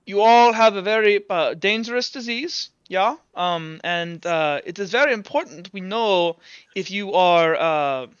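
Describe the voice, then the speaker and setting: A man voicing an evasive, reassuring doctor in a tabletop game, speaking haltingly. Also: sits high, fairly bright tone, clean tone, no room sound, highs cut off.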